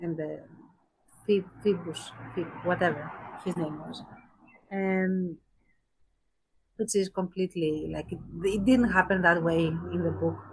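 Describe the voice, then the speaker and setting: A woman's voice: lowish voice, breathy edge, low-quality recording. A woman co-hosting a podcast, giving her opinions in a casual discussion and thinking aloud as she qualifies her points. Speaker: podcast co-host.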